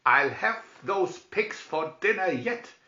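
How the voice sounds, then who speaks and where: A man voicing a boastful, threatening big bad wolf in a storybook, calling out in a loud, drawn-out way. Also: high voice, lively intonation, pitched above the performer's own voice, heavily acted. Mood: scheming. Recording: roomy echo, slightly dull sound.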